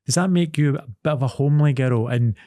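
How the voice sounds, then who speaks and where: A man's voice: mid-range voice, quick pace, warm tone, no room sound. A man, an actor and presenter, interviewing a guest on a podcast, encouraging her and often repeating himself as he goes. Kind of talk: podcast interview, scripted intro then casual chat.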